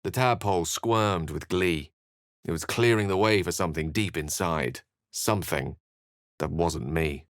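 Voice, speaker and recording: A refined, scholarly video game wizard in middle age. He phrases things ornately and with wry precision, theatrical yet measured. The recording is close and dry. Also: deep voice, no echo. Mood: somber narration. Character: eloquent, refined adult male wizard companion, wry and scholarly.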